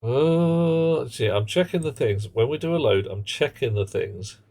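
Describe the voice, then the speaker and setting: A man's voice: medium pitch, animated, moderate pace, mellow. A man streaming a game live, chatting wryly with viewers in a dry, muttering way. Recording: game stream with background music.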